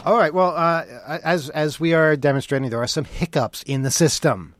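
A man's voice: on the high side, a little hiss or hum. A man hosting a radio show, bantering casually live on air in a wry, joking, sardonic manner. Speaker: radio host.

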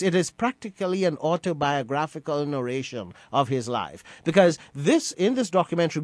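Earnest Guyanese-born radio host, fairly high. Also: male voice, no echo. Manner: earnest, repeats and restarts phrases. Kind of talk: reflective on-air commentary.

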